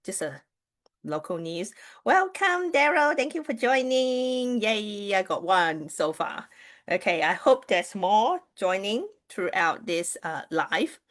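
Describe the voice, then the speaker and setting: A woman's voice: mid-range voice, sing-song, slow, a bit bright. A woman from Malaysia whose first language is Mandarin Chinese, hosting a casual livestream and chatting with viewers, with many fillers and restarts. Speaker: livestream host, Chinese astrology reader.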